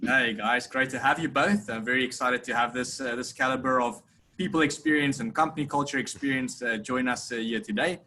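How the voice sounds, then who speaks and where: A man's voice: medium pitch, steady tone, brisk, sharp tone, a little hiss or hum. A man moderating an online conference panel, upbeat and full of restarts as he speaks, on a video call.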